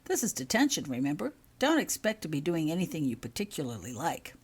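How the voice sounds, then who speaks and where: A woman voicing a cheerful, brisk male professor giving orders in a storybook reading. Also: sits low, big pitch swings, husky, heavily acted.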